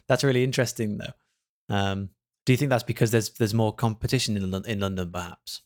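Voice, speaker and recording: A man hosting a podcast, reading a smooth scripted intro and then asking relaxed, friendly interview questions. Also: on the low side, normal speed. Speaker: podcast host.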